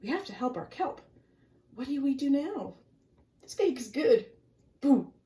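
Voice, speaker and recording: Woman doing small sea creatures, squeaky, high, timid, chattering, storybook. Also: very animated, a little slow, warm tone, distant mic. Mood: eager.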